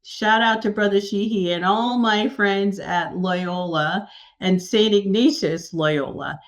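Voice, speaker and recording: A woman from New York City, an executive coach, telling stories as a podcast guest in an animated, enthusiastic way. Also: medium pitch, takes it slowly. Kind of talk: casual interview.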